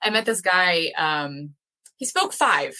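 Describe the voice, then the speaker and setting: Bright-toned chatty woman. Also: fairly low pitch, very animated, slow pace. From United States. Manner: chatty and enthusiastic, many fillers. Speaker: guest, language educator and dairy farmer. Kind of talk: casual podcast interview.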